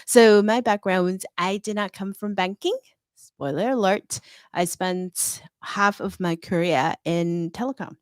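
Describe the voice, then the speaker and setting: A woman's voice: mid-range voice, a little slow, no room sound, studio quality. A middle-aged woman from Hong Kong, a fintech consultant, speaking as an interview guest in a confident, candid, storytelling way. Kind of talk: interview conversation.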